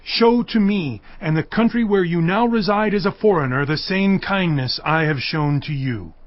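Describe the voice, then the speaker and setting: A man's voice: sits high, moderate pace, no echo, natural voice. An actor playing a wary, insistent king in a dramatized audio Bible, speaking in an emphatic, declamatory way. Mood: earnest, insistent. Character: Abimelech, insistent, wary male biblical king. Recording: dull audio with faint music.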